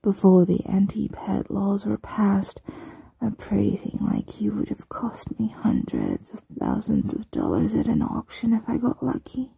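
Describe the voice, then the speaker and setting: A woman playing a possessive, smugly menacing mistress in an ASMR roleplay, breathy and often whispering, with a teasing, condescending coo. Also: medium pitch, sing-song, mellow, dry recording, full character voice. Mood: smug, gloating. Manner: teasing, condescending, drawn-out cooing.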